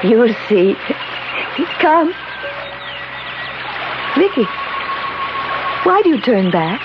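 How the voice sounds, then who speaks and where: An actress playing Death as a gentle motherly woman in a 1970s radio drama, soothing and solemn. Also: a little high, very animated, extremely slow, background noise, heavily acted. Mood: gently guiding. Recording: muffled old radio broadcast with music.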